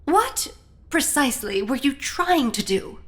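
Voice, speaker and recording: A woman's voice: high voice, big pitch swings, measured pace. A woman playing an impatient, arch dinner guest with a dry manner in a comedy audio drama.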